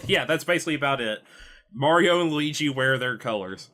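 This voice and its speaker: Bright-voiced man hosting a casual podcast. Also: fairly high pitch, expressive, normal speed. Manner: chuckles often while talking. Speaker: podcast host.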